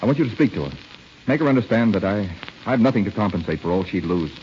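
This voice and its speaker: An actor playing a bitter, resigned young war-wounded captain in an old radio drama, clipped and restrained. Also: male voice, lowish voice, expressive, fast, a little mellow, close to an everyday voice. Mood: controlled, insistent. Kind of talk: 1930s-40s radio drama acting. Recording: dull, hissy old radio recording.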